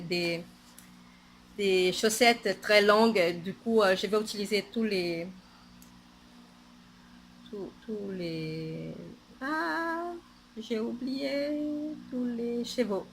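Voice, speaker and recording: A woman's voice: on the high side, a bit bright, a little hiss or hum. A woman with a Portuguese accent, a native Portuguese speaker, chatting casually on her knitting podcast, hesitant, with many fillers and restarts.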